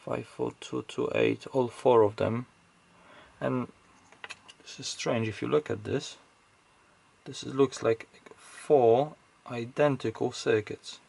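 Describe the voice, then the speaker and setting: A man's voice: mid-range voice, fairly even intonation, takes it slowly, vocal fry, a little mellow, breathy edge. A man giving casual, off-the-cuff technical commentary as he hosts an electronics teardown, hesitantly thinking aloud as he goes.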